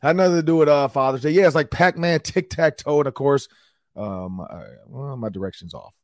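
Man, middle-aged, sports podcast host, slightly raspy, rambling casual chat, dry close sound. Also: mid-range voice, a little fast, dry recording. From Minnesota, US. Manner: chatty, rambling with fillers and restarts.

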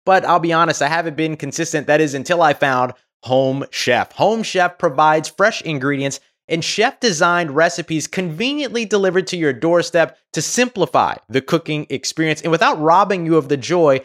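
A man's voice: on the high side, animated, moderate pace, hardly any pauses, a bit bright. Man reading a friendly podcast ad. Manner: friendly, personal testimonial delivery. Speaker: ad reader. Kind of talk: host-read podcast ad.

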